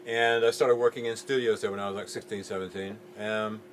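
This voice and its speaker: Middle-aged English man raised in Jamaica, low voice, laid-back and halting interviewee, faint noise behind. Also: fairly even intonation. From England, raised in Jamaica. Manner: easygoing, hesitant with many ums. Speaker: music producer guest. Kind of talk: relaxed interview answers.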